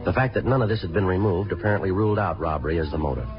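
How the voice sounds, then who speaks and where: An actor playing a terse, businesslike police detective, clipped and deadpan, in a 1950s radio police procedural. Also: male voice, low-pitched, very fast, music in the background, close to an everyday voice. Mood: flat, factual. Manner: clipped, deadpan, matter-of-fact. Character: terse, businesslike police detective, narrating his own case. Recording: muffled old radio broadcast recording.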